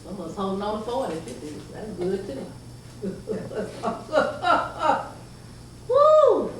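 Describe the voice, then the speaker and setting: A woman's voice: fairly high pitch, huge pitch swings, slight background noise. Older woman telling folksy stories. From North Carolina. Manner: folksy, chuckling, drawn-out asides. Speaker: storyteller. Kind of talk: folksy storytelling to a live audience. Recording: echoey room heard from a distance.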